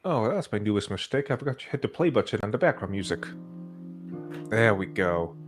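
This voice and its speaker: A man's voice: lowish voice, big pitch swings, a little fast, over music. Man hosting a casual, jokey livestream. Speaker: podcast host.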